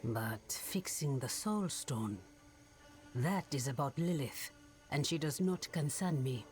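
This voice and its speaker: Low, slow, stern witch. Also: expressive, a little mellow, faint music behind, full character voice. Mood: wary, cool. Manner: solemn, deliberate. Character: guarded, stern swamp witch. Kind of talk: dramatic video game acting.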